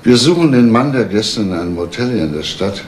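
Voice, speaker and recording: An actor playing a probing, authoritative police detective with a gravelly voice in a 1980s German crime radio drama, asking terse questions. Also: male voice, lowish voice, lively intonation, quick pace, natural voice. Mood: brisk, commanding. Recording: faintly noisy old radio recording.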